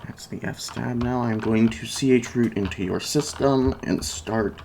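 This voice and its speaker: A soft-spoken ASMR performer in a repair roleplay as a computer technician, murmuring haltingly while absorbed in the task. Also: medium pitch. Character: unhurried computer technician repairing a robotic client. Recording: loud fan noise, home recording. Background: PC fan, keyboard typing, clicks, creaking chair.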